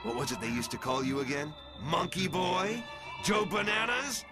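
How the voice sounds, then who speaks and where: A man's voice: fairly high pitch, moderate pace, lightly acted. A man playing a vicious, gloating young mutant villain in a motion comic, taunting theatrically. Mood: cruel taunting. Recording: loud music drowning the voice.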